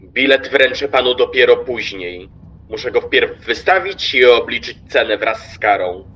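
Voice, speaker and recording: An actor playing an officious, sardonic train conductor in a radio play, formal and curt. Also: male voice, medium pitch, lively intonation, moderate pace, pushed and bright, muffled recording. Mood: curtly official.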